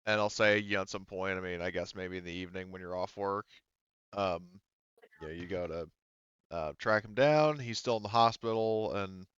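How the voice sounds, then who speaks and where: Male game master, low clear voice, casual halting improvised narration, slightly dull recording. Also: steady tone, fairly bright tone.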